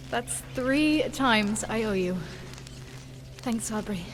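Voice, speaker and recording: An actor playing a determined, protective young woman with a wry streak and an earnest, expressive delivery in a video game. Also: on the high side, extremely slow, background noise. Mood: relieved, grateful.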